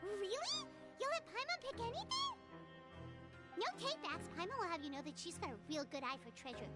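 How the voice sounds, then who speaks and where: A woman voicing a tiny, childlike fairy companion in a video game, greedy, excitable and easily spooked, with a squeaky voice. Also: brisk. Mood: excited, greedy. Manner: refers to herself in the third person. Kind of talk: video game character acting. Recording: game music behind the voice. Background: game music.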